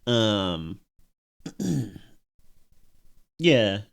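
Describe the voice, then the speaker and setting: A man's voice: fairly low pitch, very animated, very slow, gravelly, clean tone. A man giving casual live-stream commentary as he reacts to videos at home, rambling and self-mocking and laughing at his own jokes.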